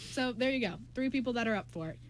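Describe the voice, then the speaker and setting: A woman's voice: a little high, lively intonation, fast, poor audio. A chatty, giggly young woman co-hosting a casual student radio show, talking in a jokey way. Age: young adult. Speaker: radio show co-host and scriptwriter. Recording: noticeable background noise.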